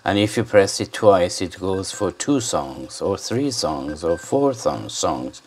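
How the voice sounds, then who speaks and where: Guy w really deep slow voice rambling thru a hands-on gadget review, half talking to himself. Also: very animated, darkish tone, slight background noise. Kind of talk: casual hands-on product demonstration. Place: indoors at a desk.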